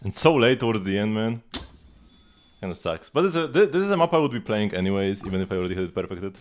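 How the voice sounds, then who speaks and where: A man with a fairly low voice gives casual livestream commentary over game music. He talks in a rambling way, often repeating a phrase before moving on. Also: very animated, fast. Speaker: live streamer. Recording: music playing behind the voice.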